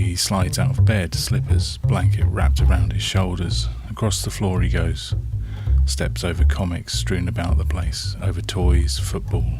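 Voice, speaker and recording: A man with a low voice narrates a story at a very slow pace. He speaks in short, measured phrases and leaves dramatic pauses. Prominent plucked guitar music plays behind him. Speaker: storyteller, author reading own story. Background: soft plucked guitar soundtrack.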